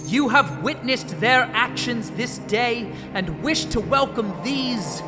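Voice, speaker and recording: A ceremonial officer of a knightly order in a fantasy audio drama, as a man acts him out, declaiming in a high, measured voice. He speaks slowly and gravely over loud music. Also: full character voice. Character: formal male officer presenting initiates at a ceremony.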